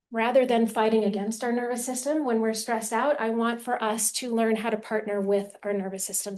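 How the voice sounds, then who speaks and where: Woman speaker, fairly high soft voice, friendly lecture to a room, handheld mic. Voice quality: soft quiet voice. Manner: apologetic and friendly, laughs often. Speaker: educational speaker. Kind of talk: live lecture to a seated audience. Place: meeting room with audience. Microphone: handheld mic after a lapel clip would not stay.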